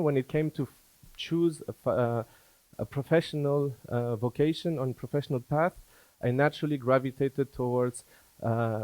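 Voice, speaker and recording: Man, company founder, business presentation, hesitant phrasing, soft-edged mellow voice, medium pitch. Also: no echo, poor audio. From born in Afghanistan, schooled in France.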